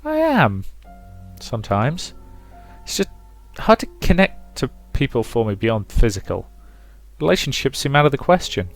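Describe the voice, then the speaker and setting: A man reading the part of a gentle, reserved young woman, a loyal friend in a visual novel. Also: medium pitch, huge pitch swings, normal speed, soft background music, pitched above the performer's own voice. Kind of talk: visual novel character read aloud by a player.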